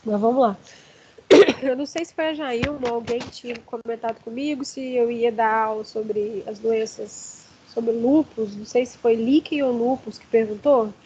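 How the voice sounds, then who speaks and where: A hoarse woman with a Brazilian accent, giving an online lecture with many fillers and restarts. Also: a little high, normal speed, warm tone, dull audio, slight background noise. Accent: Brazilian Portuguese. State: hoarse from allergy.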